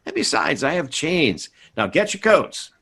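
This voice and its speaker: A middle-aged American father from an old-time radio comedy. His voice is fairly high and very animated, with a husky edge. He is stubborn and idealistic, blustering and stammering through his pronouncements. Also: measured pace, exaggerated voice. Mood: firm, declaring. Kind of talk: 1950s radio sitcom acting, performed by players.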